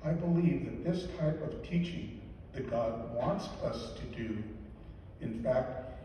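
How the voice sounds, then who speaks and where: A man's voice: medium pitch, warm tone, recorded from a distance, a little hiss or hum. Older man preaching in echoey church. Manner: measured, earnest, slow deliberate emphasis. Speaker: deacon or priest giving a homily. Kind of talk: weekday homily. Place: large church sanctuary. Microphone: lectern mic, filmed from the back pews.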